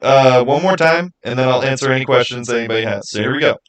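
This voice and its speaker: A man's voice: medium pitch, fast talker. A man hosting a livestream, chatting informally with viewers and thinking aloud as he goes. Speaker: livestream host. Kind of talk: casual livestream chat with viewers.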